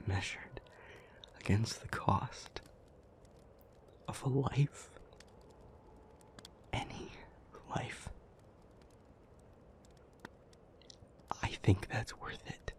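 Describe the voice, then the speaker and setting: A man playing a weary, gentle demon in an intimate audio roleplay, speaking breathily and earnestly with halting pauses. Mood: pained, pleading. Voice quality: breathy, whispery, low. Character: weary, gentle male demon, homesick and protective.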